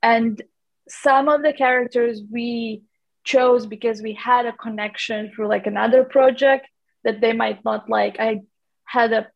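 A woman's voice: on the high side, steady tone, a little slow, creaky, lo-fi sound. A woman from Latvia with a Latvian accent, a documentary producer answering interview questions in a rambling way with many fillers and restarts.

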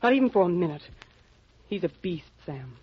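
An actress playing an anxious wife in an old radio detective drama, husky and earnest in a melodramatic, pleading way. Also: female voice, lowish voice, sing-song, normal speed. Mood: urgent, fearful. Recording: muffled old radio recording.

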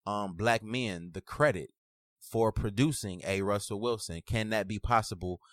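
Male podcast host, low register, slangy and emphatic, dry close sound. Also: normal speed, dry recording. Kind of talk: casual podcast conversation.

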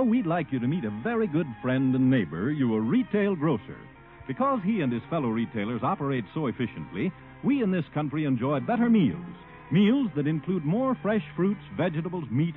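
An American man reads a formal 1950s radio announcement. His voice is fairly high and lively. The recording is tinny, with faint music. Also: brisk.

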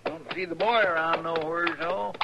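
Grumbling frontier sidekick, radio western. High, cracked voice, rural American drawl, vintage recording noise. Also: male voice, steady tone, moderate pace, gravelly, lo-fi sound. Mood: folksy grumbling. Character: folksy, grumbling, loyal deputy sidekick of a marshal. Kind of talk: 1950s radio western acting.

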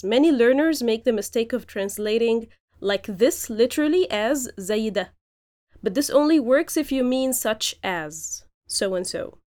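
Higher-voiced woman hosting a language podcast. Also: a little mellow. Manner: explanatory, with frequent fillers. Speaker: podcast host and Arabic teacher. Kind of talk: lecture-style podcast recap.

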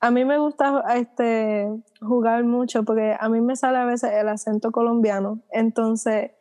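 A young Puerto Rican woman chatting casually in a group, with a Puerto Rican accent. Her voice sits a little high and sounds mellow and soft. She tells her stories with a laugh never far away. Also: unhurried. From Puerto Rico. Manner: laughing, lively storytelling. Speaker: podcast guest, student.

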